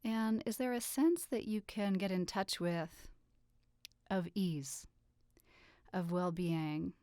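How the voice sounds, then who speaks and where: A woman leading a guided relaxation meditation with soothing, gentle instructions and unhurried pauses. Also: lowish voice, smooth, no echo, clean studio sound. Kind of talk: guided meditation, yoga nidra.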